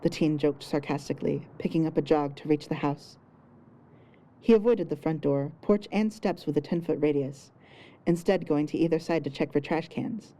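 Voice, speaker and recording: Lady narrating a fiction story, deep for a woman, super mellow and dark sounding. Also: normal speed, rough recording.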